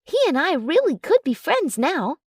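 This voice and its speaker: Woman doing a feisty lovestruck werewolf girl, possessive, blunt, lively pitch, dry audiobook sound. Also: normal speed, no echo. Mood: warm. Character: possessive, feisty young female werewolf in love. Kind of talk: audiobook character dialogue.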